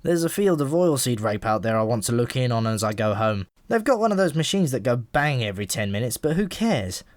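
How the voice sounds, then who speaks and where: A man's voice: mid-range voice, sing-song, a little fast, hardly any pauses, no echo, close to an everyday voice. A man voicing a chatty, world-weary pigeon in an audiobook, talking in an offhand, frank and matter-of-fact way. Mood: offhand, advising. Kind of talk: audiobook character voice.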